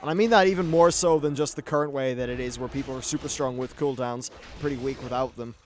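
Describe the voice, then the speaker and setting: A man's voice: medium pitch, fast talker. Chatty man commentating over noisy game audio. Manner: chatty, informal, mildly sweary. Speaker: gaming YouTuber. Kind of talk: casual game playthrough commentary. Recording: clear background noise, game ambience.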